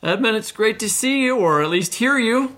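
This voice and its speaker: A man co-hosting a podcast in a casual, animated, informal storytelling way. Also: high voice, moderate pace. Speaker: podcast co-host. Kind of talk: casual literary podcast conversation.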